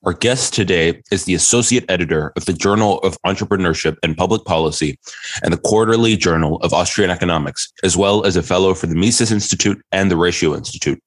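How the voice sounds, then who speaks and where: Fast, deep-voiced male podcast host. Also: dry recording. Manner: reads prepared questions smoothly. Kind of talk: scripted introduction and interview questions.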